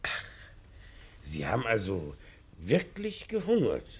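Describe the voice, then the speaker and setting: An actor playing a fawning, inquisitive bourgeois host in a German radio play, with an effusive manner. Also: male voice, fairly low pitch, huge pitch swings, slow, breathy edge, full character voice.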